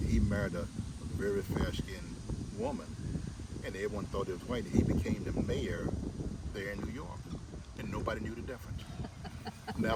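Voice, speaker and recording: An older man with a fairly low voice tells personal stories deliberately, repeating his points. He sounds away from the mic, outdoors with loud car noise around him. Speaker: interview guest. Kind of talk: personal storytelling in conversation. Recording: very noisy outdoor recording, distant microphone.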